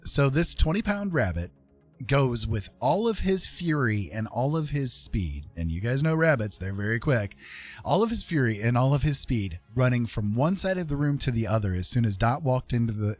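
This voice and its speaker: A man hosting a livestream, casually telling stories in an animated way with drawn-out dramatic phrasing. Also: medium pitch. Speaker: livestream host. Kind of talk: casual storytelling. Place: indoor livestream with music behind.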